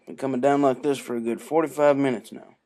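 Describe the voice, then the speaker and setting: A man's voice: mid-range voice, fairly even intonation, fast talker, darkish tone, natural voice. A man voicing a big male farm pony in a storybook reading, laconic, folksy and matter-of-fact.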